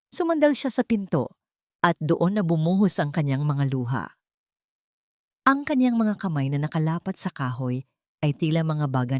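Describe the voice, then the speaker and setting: A woman's voice: sits low, darkish tone, no room sound, clean studio sound. A woman narrating a scripted audio story in a dramatic storytelling style.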